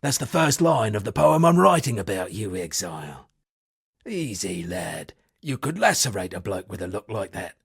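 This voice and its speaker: An actor voicing an eccentric washed-up sea captain and would-be poet in a video game, gravelly and husky, with theatrical, rambling, florid delivery. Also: male voice, lowish voice, sing-song, normal speed, no echo, studio quality, heavily acted. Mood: wry, musing. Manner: theatrical, rambling, florid old seafaring turns of phrase. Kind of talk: video game character dialogue.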